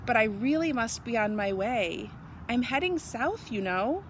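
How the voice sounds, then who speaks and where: A woman voicing a carefree, independent male butterfly in a children's storybook, airy and self-assured with drawn-out phrases. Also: fairly high pitch, expressive, slight background noise. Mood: gentle, firm. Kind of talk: children's storybook read-aloud.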